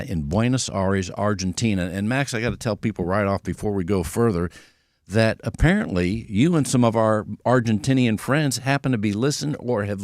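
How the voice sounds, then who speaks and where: A man's voice: fairly low pitch, no room sound, studio quality. A man hosting a radio talk show who introduces his guest and prompts him with friendly, conversational questions.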